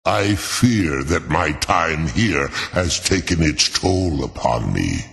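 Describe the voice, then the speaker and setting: An actor playing an ancient, proud and solemn dragon in a fantasy game, speaking formally and slowly in a deep gravelly voice. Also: male voice, sing-song, fairly bright tone, heavily acted. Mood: mournful, grave. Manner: slow, formal, portentous. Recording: long cavernous reverb.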